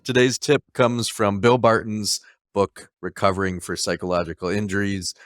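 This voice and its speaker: Low-voiced male interviewer, measured and hesitant. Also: flat delivery, faint music behind. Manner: hesitant, searching for words. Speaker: interviewer, host. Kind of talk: conversational interview.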